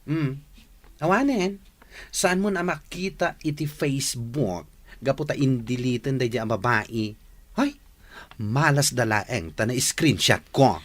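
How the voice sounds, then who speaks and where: A man's voice: medium pitch, slow, a bit bright. A man playing a gossipy, indignant friend who bears bad news in an Ilocano Filipino radio drama.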